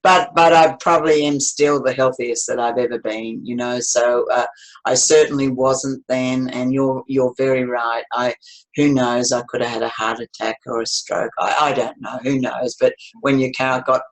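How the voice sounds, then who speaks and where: A woman's voice: a bass voice, steady tone, normal speed, low-quality recording. A woman in her seventies, telling her life story to an interviewer in a rambling, chatty way, with many restarts. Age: 70s. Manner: rambling, warm storytelling with frequent restarts and laughs. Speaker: interview guest.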